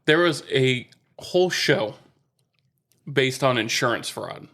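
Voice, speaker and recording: A man's voice: mid-range voice, animated, slow, a bit bright. A man co-hosting a podcast in a casual, wry and teasing way. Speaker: podcast co-host. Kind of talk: casual chat.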